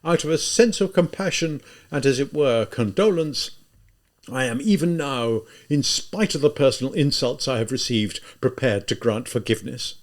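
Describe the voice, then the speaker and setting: A man reading an audiobook, voicing a pompous middle-aged gentleman who speaks in an imposing, condescending way. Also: medium pitch, normal speed, lightly acted.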